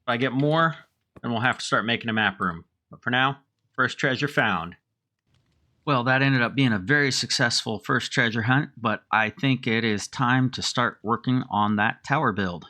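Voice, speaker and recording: A man with a slight head cold, narrating his own game playthrough in a friendly, relaxed way. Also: mid-range voice, moderate pace. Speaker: let's play host. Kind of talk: gameplay commentary.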